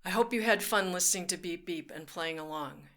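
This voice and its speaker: A woman's voice: fairly low pitch, a little fast, bright, forceful tone. A woman reading a picture book aloud to children, in a sing-song way, with playful vehicle sound effects. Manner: talks directly to children. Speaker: children's storyteller. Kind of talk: read-aloud storytime.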